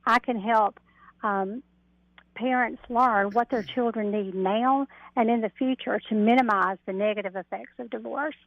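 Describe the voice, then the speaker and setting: A woman's voice: on the high side, normal speed, creaky, dry recording. Middle-aged woman on a phone line. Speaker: interview guest, mediator and coach. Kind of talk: radio interview, explaining. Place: phoned into a radio show. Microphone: telephone. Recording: narrow telephone line.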